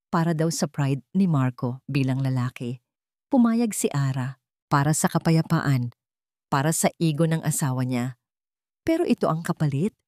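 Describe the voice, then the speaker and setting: Woman audiobook narrator, low voice, dry sound, reading a dramatic story. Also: dark tone, no echo.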